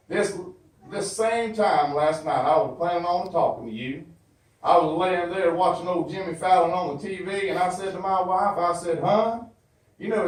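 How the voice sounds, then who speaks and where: A man with a Southern US accent performing a folksy comedy monologue as a flustered churchgoer on the phone, stammering and repeating himself. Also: sits high. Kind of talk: comedy monologue, one side of a phone call. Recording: very echoey hall, distant microphone.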